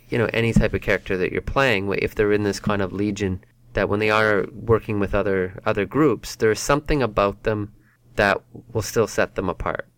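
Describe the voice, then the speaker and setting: A man's voice: lowish voice, clean tone, no room sound. A man co-hosting a casual roundtable chat, who rambles and restarts his sentences as he thinks aloud. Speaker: podcast co-host.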